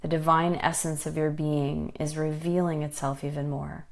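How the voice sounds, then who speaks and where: A woman with a low-pitched voice guides a meditation. She speaks gently and without hurry, leaving pauses between her soothing instructions. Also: flat delivery, gravelly. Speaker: meditation guide and life coach.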